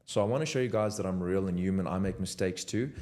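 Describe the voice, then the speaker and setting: A man's voice: sits low, quick pace, warm tone. A young man, talking unscripted to camera from his home desk in an earnest, motivational way. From South Africa. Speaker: content creator. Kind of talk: unscripted talking-head vlog.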